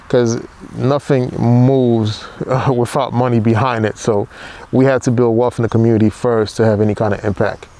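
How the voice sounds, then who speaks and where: A middle-aged man from Haiti, raised in New York, talks in an easy, unhurried way. His voice is dark and mellow, and he pauses often on small fillers as he tells his story on a podcast. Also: mid-range voice. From born in Haiti, raised in New York. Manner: relaxed, steady, with frequent fillers. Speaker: podcast co-host. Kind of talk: casual chat.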